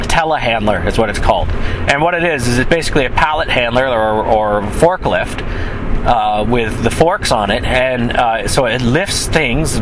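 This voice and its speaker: A man with a stuffy, sniffly voice chatting casually to his viewers in a rambling, self-correcting way. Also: mid-range voice. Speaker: film crew member. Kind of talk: casual vlog monologue. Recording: traffic noise outdoors.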